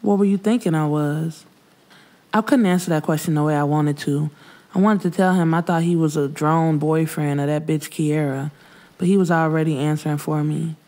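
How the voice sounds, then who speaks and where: A woman's voice: sits low, steady tone, a little fast, dark tone. A woman narrating first-person urban fiction in a casual, frank, streetwise way. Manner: frank, streetwise delivery with swearing. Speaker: audiobook narrator.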